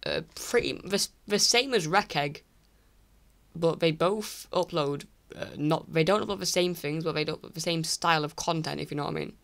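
A teenage boy from England ranking online creators in casual screen-recorded commentary, rambling and apologetic with many restarts, his voice croaky from recording late at night. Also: on the high side, normal speed, fairly bright tone. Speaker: YouTuber. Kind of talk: casual tier list commentary.

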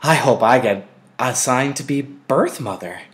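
A man's voice: mid-range voice, expressive, a little slow, pitched above the performer's own voice, natural voice. A man voicing a chatty, giggly little girl in an audiobook, with an eager sing-song manner. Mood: gushing. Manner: sing-song, sighing, petulant.

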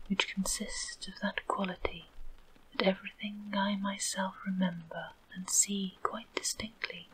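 A woman voicing a humble, wistful old street lamp in a soft whisper. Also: on the low side, low-quality recording.

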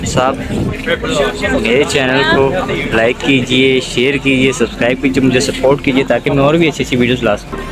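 A young man chatting excitedly to viewers as he walks through a city plaza and mall, recorded on a handheld phone at arm's length. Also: mid-range voice, normal speed, loud music behind the voice. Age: young adult. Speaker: travel vlogger. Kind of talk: casual walking tour vlog.

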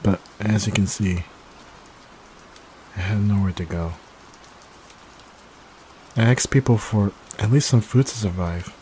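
A man playing a timid, gentle young catboy in an ASMR roleplay, speaking hesitantly with stammers. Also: lowish voice, measured pace, long pauses, natural voice, low-quality recording. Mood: sad, confiding. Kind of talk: soft-spoken ASMR roleplay. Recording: steady rain noise behind voice. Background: rain.

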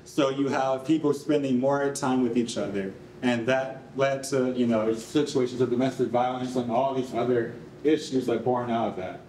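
A man's voice: mid-range voice, normal speed. Young man presenting in a roomy hall. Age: young adult. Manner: conversational, animated, frequent "like" and "you know". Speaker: project presenter. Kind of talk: informal live presentation to an audience. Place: concrete-walled rehearsal hall before an audience. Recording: roomy, distant mic, faint noise.

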